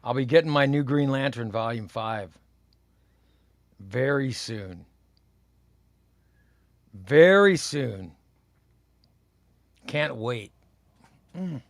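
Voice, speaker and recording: A man's voice: medium pitch, animated, extremely slow, vocal fry, pauses often. A man in his fifties hosting a comics livestream, chatting casually as he reads viewer comments aloud, rambling and repeating his phrases. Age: 50s. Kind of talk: casual chat reading live comments.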